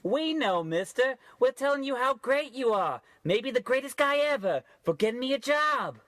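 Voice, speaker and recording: A man's voice: very high voice, sing-song, moderate pace, a bit bright, dry recording, exaggerated voice. A man playing effusively grateful, folksy townsfolk in a parody old-time radio play, earnest and admiring. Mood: excited admiration. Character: gushing, grateful townsfolk, sweetly admiring the crook.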